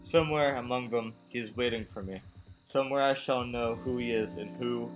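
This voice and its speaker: A man's voice: mid-range voice, moderate pace, faint music behind, close to an everyday voice, low-quality recording. A young man playing a haunted, frightened traveler in a radio play, telling his story in a brooding, dramatic way. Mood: desperate, brooding. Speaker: student actor. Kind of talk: radio play acting, dramatic first-person narration.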